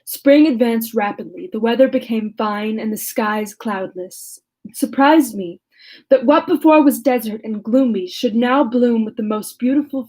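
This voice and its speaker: A woman reading aloud as a lonely male creature who tells his own story in a measured, formal way. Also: a little high, warm tone, close to an everyday voice, rough recording. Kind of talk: reading a classic novel aloud.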